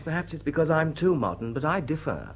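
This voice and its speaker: Period radio drama: cynical English lord, urbane and teasing, upper-class accent, very varied pitch. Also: male voice, sing-song, fairly quick, husky, lo-fi sound, full character voice. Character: dissipated, witty, cynical English aristocrat bachelor. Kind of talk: period drawing-room radio drama acting.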